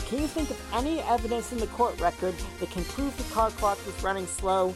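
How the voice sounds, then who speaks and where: A woman playing a firm, encouraging defense partner in a courtroom audio drama, steady and urging. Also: medium pitch, brisk, music in the background, natural voice. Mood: firm encouragement.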